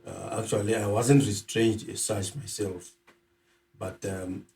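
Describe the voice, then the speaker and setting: A man's voice: lowish voice, fairly even intonation, unhurried, vocal fry, dark tone, far from the mic. A middle-aged man from Zimbabwe, a podcast guest, talking in a conversational way with many ums and uhs. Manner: hesitant, many filler sounds. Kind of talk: conversational interview.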